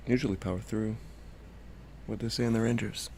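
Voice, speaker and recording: An actor playing an easygoing, teasing lover in an intimate audio drama, laconic and low-key. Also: male voice, steady tone, brisk, vocal fry, a little mellow, slight background noise. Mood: relaxed, teasing.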